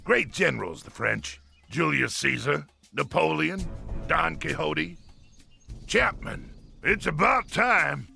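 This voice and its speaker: An actor playing a gruff, boastful military colonel in a game, with a blustering manner. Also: male voice, fairly high pitch, lively intonation, slow pace, bright, forceful tone, soft background music, exaggerated voice. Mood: bossy. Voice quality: gravelly, blustering. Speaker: game character.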